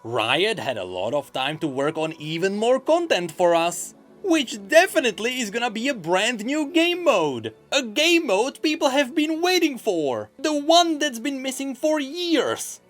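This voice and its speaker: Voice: medium pitch, big pitch swings, measured pace, hardly any pauses, a bit bright, faint music behind. A host reading scripted gaming news with theatrical emphasis and a sarcastic streak.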